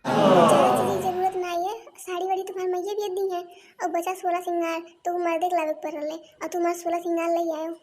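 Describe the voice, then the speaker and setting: A performer with an Awadhi accent voicing a quick-tempered, sulky young village housewife who bickers and complains in a comedy cartoon. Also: extremely high pitch, expressive. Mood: indignant. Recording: noisy lo-fi cartoon soundtrack.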